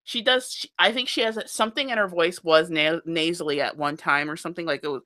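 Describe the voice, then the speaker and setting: Bright, slightly nasal chatty woman. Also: on the low side, fast talker. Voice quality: somewhat nasal. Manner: animated, laughs often. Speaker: podcast co-host. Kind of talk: casual chat about audiobooks.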